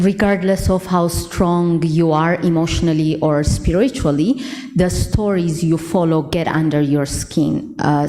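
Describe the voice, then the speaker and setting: A woman's voice: on the low side, dark tone. A woman from Ethiopia, asking a panel a question from the audience. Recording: reverberant hall.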